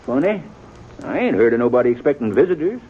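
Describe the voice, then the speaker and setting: An actor playing a nosy, gossipy small-town shopkeeper in a 1950s radio western, with a folksy rural American accent. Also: male voice, medium pitch, sing-song, husky. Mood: curious, gossipy. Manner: folksy, chatty, drawn-out busybody talk. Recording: tinny, band-limited old radio recording.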